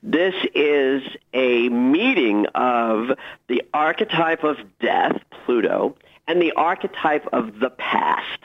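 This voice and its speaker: Man, radio interview guest, animated intonation, emphatic explainer, expressive phrasing, slightly dull lo-fi audio. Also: medium pitch, slow. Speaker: guest astrologer.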